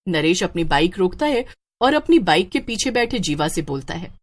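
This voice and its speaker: A woman's voice: fairly low pitch, sing-song, moderate pace. A woman storyteller reading scripted narration for a horror story.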